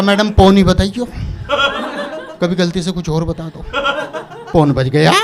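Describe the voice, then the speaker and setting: A man from Uttar Pradesh tells jokes in a stand-up set, his voice sitting high. He acts out little scenes in quick dialogue. Heavy noise sits under the recording. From Uttar Pradesh, India. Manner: animated storytelling, acting out dialogue. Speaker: stand-up comedian. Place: stage with audience laughter.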